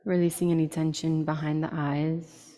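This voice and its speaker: Woman, meditation guide, deep register, dark relaxed timbre, slow soothing delivery, short phrases, long pauses, reverberant recording.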